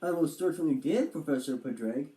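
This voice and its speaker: A bold storybook turtle gentleman acted by a woman speaks with theatrical pomp. He is chummy and confident, with a very animated delivery in a roomy, echoing space. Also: low-pitched, fairly quick, far from the mic. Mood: curious, chummy. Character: hearty, confident adventurous male turtle, chummy gentleman explorer.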